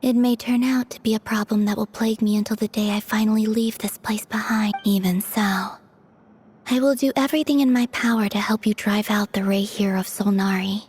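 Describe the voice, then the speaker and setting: A woman voicing a gentle, frail moon maiden in a video game, honest and quietly resolute, speaking in a soft, breathy, measured way. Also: medium pitch, steady tone, natural voice. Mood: earnest, gentle. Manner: measured, earnest delivery.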